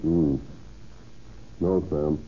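Calm methodical lawyer snooping around, low voice, noisy old thirties radio play. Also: male voice, very slow, lo-fi sound, exaggerated voice. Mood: skeptical, intent. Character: calm, methodical male investigating attorney, dryly skeptical. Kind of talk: 1930s radio acting.

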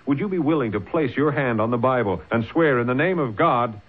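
A man's voice: medium pitch, expressive, brisk, breathy edge, phone-quality sound. An actor playing a principled, indignant frontier statesman in a radio drama, earnest and forthright. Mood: indignant, pressing. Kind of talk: old-time radio drama acting. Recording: band-limited old radio drama recording.

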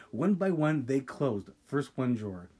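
A man co-hosting a casual podcast, reading a listener's ghost story aloud with dramatic pauses and stopping to react. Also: mid-range voice, big pitch swings, mellow, slightly rough. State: sipping wine. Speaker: podcast co-host.

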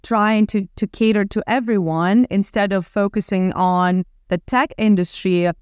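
Lively Ukrainian-accented woman, clear and dry. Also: medium pitch, a little slow, no echo. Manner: lively, many restarts and fillers. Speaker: guest entrepreneur. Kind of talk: casual interview talk.

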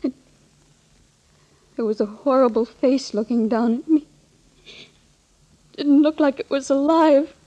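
An actress playing a fragile but brave frightened young lady in an old radio thriller, speaking haltingly. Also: sits high, dark tone, dull audio. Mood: fearful. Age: young adult. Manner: hesitant, halting.

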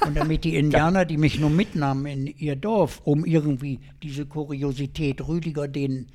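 A man's voice: fairly high pitch, moderate pace. Older man telling adventure stories. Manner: lively storyteller, colloquial, many fillers and restarts. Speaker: guest adventurer. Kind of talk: telling a life story in an interview.

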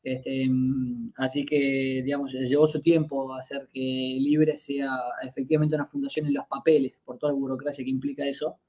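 A man's voice: mid-range voice, little pitch movement, normal speed, few pauses, muffled recording. A man in his twenties with an Argentine accent, a podcast guest telling a long story in a casual, hesitant way, full of fillers and restarts. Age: 20s. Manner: hesitant, many fillers and restarts while recounting.

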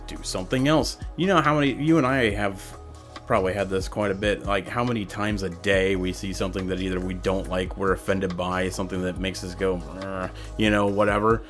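A man's voice: low voice, a bit bright. A man hosting a podcast, talking casually and ranting with strong opinions. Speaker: podcast host.

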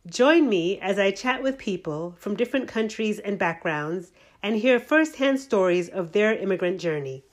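A woman hosts an interview podcast at a middling pitch. She asks her questions in plain words. She often rephrases them and adds fillers as she goes. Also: expressive, normal speed, hardly any pauses. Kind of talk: casual interview.

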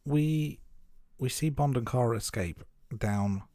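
A man co-hosting a podcast, recapping film scenes in a steady, casual way with some fillers. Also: medium pitch, takes it slowly, no room sound, studio quality. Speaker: podcast co-host. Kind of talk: casual film review chat.

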